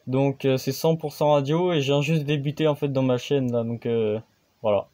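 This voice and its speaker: A man's voice: medium pitch, fairly even intonation, normal speed, warm tone. A man hosting his own amateur radio channel, explaining things casually and hesitantly, with many fillers and restarts.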